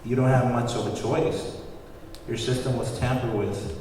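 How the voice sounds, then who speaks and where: A man's voice: medium pitch, fairly even intonation, moderate pace, a little mellow, close to an everyday voice. Man acting a coolly menacing cult leader, echoey. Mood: cold, dismissive. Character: flamboyant muscular cult leader in his thirties, coolly menacing. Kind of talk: screenplay table read. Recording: very echoey, distant, noisy room recording.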